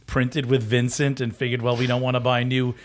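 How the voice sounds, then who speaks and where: A man's voice: mid-range voice, completely flat delivery, fairly quick, a little hiss or hum. A man co-hosting a comedy podcast who banters and cracks jokes. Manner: joking, teasing banter. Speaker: podcast co-host.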